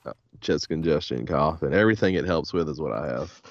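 A man's voice: extremely low pitch, lively intonation, brisk, vocal fry, no echo, highs cut off. A man hosting a podcast in a laid-back, joking chat while fighting a head cold. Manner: laid-back, joking, says "hell yeah" often. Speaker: podcast host. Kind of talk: casual chat.

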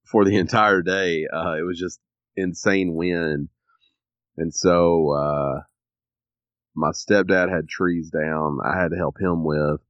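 A man co-hosting a podcast, sniffly and a little raspy from allergies, rambling with many ums. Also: sits low, fairly even intonation, slow pace, no room sound, dull audio. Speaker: podcast co-host. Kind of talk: casual chat.

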